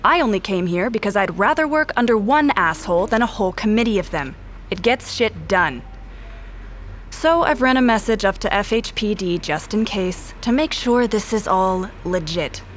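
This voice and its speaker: An actress playing a blunt, distrustful special agent in a noir audio drama, narrating and confronting in terse lines. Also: female voice, mid-range voice, lively intonation, moderate pace, fairly bright tone, slight background noise, close to an everyday voice. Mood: confrontational. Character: hard-boiled, distrustful female special agent. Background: background music.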